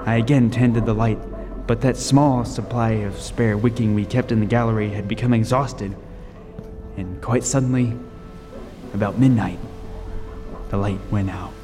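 A man playing a haunted lighthouse keeper, telling his ordeal as radio drama narration with grim, suspenseful, deliberate delivery. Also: mid-range voice, dark tone, slight background noise, lightly acted. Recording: echoing hall reverb. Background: music.

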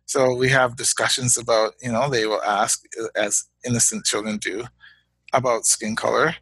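A Canadian man and father of young children, talking as a guest in a reflective interview, measured and halting, often repeating words as he thinks. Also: mid-range voice, steady tone, fairly bright tone, breathy edge, no room sound, low-quality recording.

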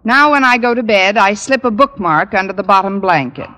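A woman playing a wry, put-upon high school English teacher in old-time radio comedy, with a dry, sardonic delivery. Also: expressive, a little fast, heavy background noise, lightly acted. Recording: old radio recording in reverberant hall.

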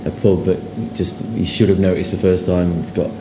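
A young man with a southern English accent talks in a relaxed, rambling way, often repeating his words. His voice sits low. The recording is roomy, with loud bar noise behind him. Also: normal speed. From England. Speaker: guest sign writer. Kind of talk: casual interview chat.

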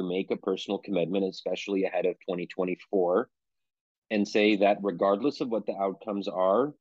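A man's voice: fairly low pitch, darkish tone, dry recording. A man from Pennsylvania, an author being interviewed, talking in a thoughtful way and often correcting himself. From United States (Pennsylvania). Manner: thoughtful, self-qualifying, frequent restarts. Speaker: author, guest. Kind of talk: conversational interview answer. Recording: dull lo-fi dry sound.

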